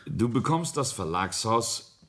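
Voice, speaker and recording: A man's voice: lowish voice, big pitch swings, measured pace, a bit bright, exaggerated voice. A middle-aged man playing a self-satisfied, jovial and domineering rich patriarch in a radio play, pompous and teasing. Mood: grand, generous.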